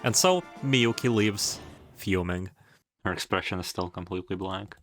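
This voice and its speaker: A man's voice: sits low, normal speed, soft background music. A man narrating in a casual, scripted video style, with wry, irreverent, slangy joking asides. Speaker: video essayist.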